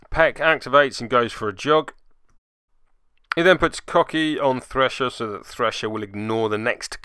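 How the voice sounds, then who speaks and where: A man's voice: medium pitch, sharp tone, clear, resonant tone, dry recording. A man giving casual play-by-play commentary on a tabletop game, with jokey, dry asides. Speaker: host and player narrating a tabletop game report.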